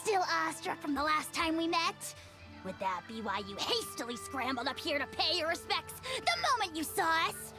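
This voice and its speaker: Video game role: tiny self-important dragon tyrant, sneering and grandiose, swooping pitch over loud music. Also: female voice, very animated, moderate pace. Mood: haughty, mocking. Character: pompous, haughty little dragon lord, theatrically self-important. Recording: game music under dialogue.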